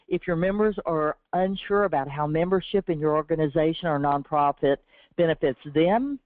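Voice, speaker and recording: Hoarse woman hosting a webinar over the phone. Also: low voice, no room sound. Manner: reads from a script in an earnest, encouraging tone. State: sore throat, slightly raspy. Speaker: webinar host from a business development team. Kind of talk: scripted webinar presentation. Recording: tinny, dry phone-line audio.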